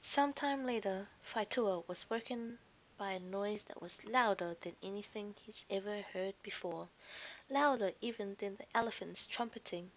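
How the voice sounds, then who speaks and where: A woman's voice: medium pitch, moderate pace, slight background noise, rough recording. A woman narrating a scripted children's story expressively, as a storyteller to young listeners.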